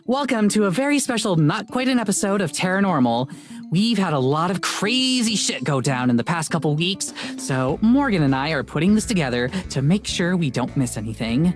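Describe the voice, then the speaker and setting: A woman's voice: on the low side, very animated, fairly quick. Casting: actress as brash young female ghost-show presenter, casual and salty, hurt underneath, visual novel style, music behind. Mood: showy cheer. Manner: casual, salty, performed cheer. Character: young woman paranormal show host, brash, hiding heartbreak. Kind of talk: visual novel character acting.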